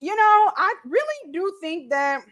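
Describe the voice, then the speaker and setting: A woman's voice: extremely high pitch, very animated, unhurried, fairly bright tone, dull audio. A woman, chatting casually on her cooking livestream, reading viewer comments aloud and laughing easily, a little tipsy. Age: late 30s. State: tipsy from mimosas.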